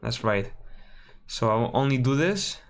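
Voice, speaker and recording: A man from Spain with a Spanish accent, thinking aloud while building a game on a live stream and often correcting himself with a quick sorry. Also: medium pitch, very animated. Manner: mutters through steps, apologizes and corrects himself often.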